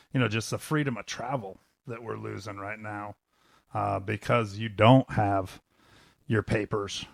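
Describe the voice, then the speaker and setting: A man comments casually as a podcast guest, pausing hesitantly between short phrases. His voice is fairly low with a gravelly fry. The sound around it is dry. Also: no echo.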